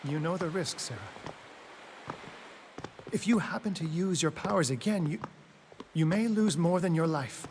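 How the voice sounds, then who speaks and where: An actor playing a grave, urgent occult investigator in a dramatic video game cutscene. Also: male voice, on the high side, moderate pace, long pauses, natural voice. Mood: grave warning. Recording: clearly noisy ambient background.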